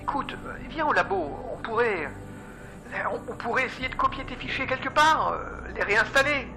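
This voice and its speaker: A woman's voice: high voice, animated, moderate pace. A woman playing an earnest, stubborn male robotics scientist in an audio drama, insistent and urgent. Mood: pleading, distressed. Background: music.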